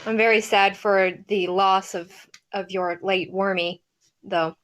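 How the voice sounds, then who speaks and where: Female show participant, medium pitch, casual conversational delivery. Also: fairly even intonation, measured pace, a bit bright, rough recording. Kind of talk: casual chat.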